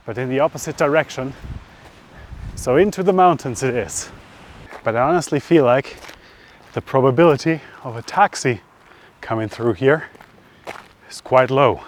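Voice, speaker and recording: A male travel vlogger with a medium-pitched voice talks in a casual, chatty way, as if thinking aloud to his viewers. He is recorded outdoors, with some noise behind him. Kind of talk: casual outdoor travel vlog narration to camera. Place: outdoors by roads, lakeside and mountains.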